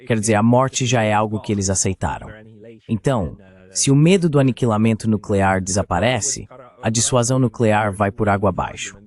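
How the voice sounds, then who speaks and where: Lower-voiced man as interview host. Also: a little mellow. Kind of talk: Portuguese voice-over of political discussion.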